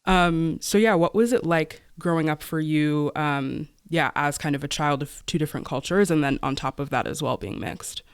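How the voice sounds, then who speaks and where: An American man hosting a podcast, asking questions in a relaxed, hesitant way full of ums and likes. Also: fairly high pitch, moderate pace, hardly any pauses, clean tone, no room sound, studio quality. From United States. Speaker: podcast host. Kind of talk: podcast interview.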